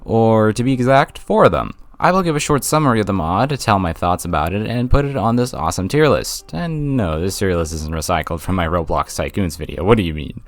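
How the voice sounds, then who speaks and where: A man hosts a scripted game review in a slightly low voice. His intonation moves up and down a lot, giving the narration an animated shape. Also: brisk, hardly any pauses, no echo. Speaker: video game reviewer and channel host.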